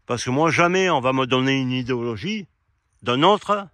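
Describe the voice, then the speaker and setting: A man delivers an off-the-cuff opinion monologue in a medium-pitched voice. He is indignant and argumentative, addressing the listener head-on and pausing to search for words. The sound is dry, with hardly any echo. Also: lively intonation, a bit bright. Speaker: amateur video commentator. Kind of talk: rambling opinion monologue to camera. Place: quiet spot outdoors.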